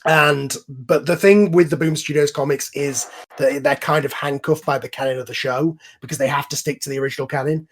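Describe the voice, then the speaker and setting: A man's voice: a little high, fairly even intonation, fairly quick, few pauses, slight background noise. A man hosting a casual online group chat, explaining things at length in an enthusiastic, informal, rambling way. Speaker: host and series creator. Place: online voice call.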